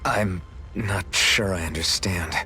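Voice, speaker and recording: Young freedom fighter, lowish voice, earnest, unsure, questioning, video game dialogue, soft music behind. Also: male voice, slow, full character voice. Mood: puzzled, uncertain.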